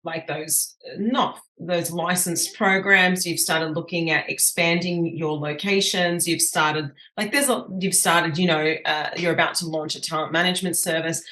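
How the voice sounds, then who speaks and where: Slightly raspy Australian woman host. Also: fairly low pitch, fairly even intonation, unhurried, few pauses, bright, forceful tone, roomy sound. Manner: chatty, upbeat, restarts often. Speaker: podcast host. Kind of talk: casual interview chat.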